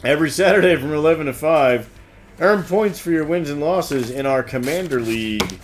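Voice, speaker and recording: A man's voice: fairly high pitch, expressive, moderate pace. A man co-hosting an informal store news segment, reading announcements and bantering casually. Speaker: co-host. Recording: music bed under the voice. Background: music.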